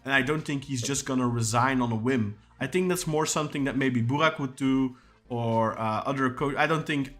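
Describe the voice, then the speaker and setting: A man's voice: medium pitch, moderate pace, a bit bright, soft background music. A man co-hosting a casual football podcast, chatting and reading viewer comments aloud. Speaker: podcast co-host.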